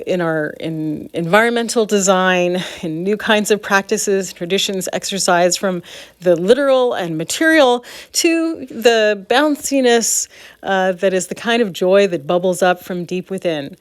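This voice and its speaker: A woman's voice: medium pitch, no room sound. Earnest woman, closely recorded, dry sound. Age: adult. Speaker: speaker presenting own ideas. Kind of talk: informal monologue, advocacy talk.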